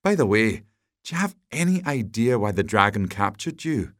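A man acts out a vain storybook knight, pompous and very pleased with himself. He brags with big swings in pitch, in a dry, close recording. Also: sing-song, moderate pace, no echo. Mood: self-satisfied. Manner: pompous, bragging. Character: boastful, self-satisfied male knight, triumphant and vain.

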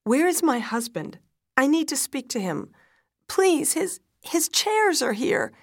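A woman's voice: on the low side, slow, no room sound, studio quality. A woman playing a distraught mother and wife, pleading urgently, in dramatic storytelling. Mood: desperate. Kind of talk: dramatic audiobook-style storytelling.

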